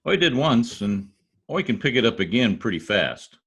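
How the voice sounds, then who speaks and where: An actor playing a boastful, wisecracking husband in an old-time radio comedy, folksy and theatrical with a husky voice. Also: male voice, mid-range voice, big pitch swings, moderate pace, fairly bright tone, exaggerated voice. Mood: grandly boastful. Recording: home video-call recording.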